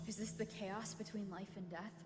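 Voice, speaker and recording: An actress playing a lost, wondering young woman in a video game, hushed and questioning. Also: mid-range voice, fairly even intonation, normal speed, lightly acted. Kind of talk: scripted video game voice acting. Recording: long reverberant tail, faint music.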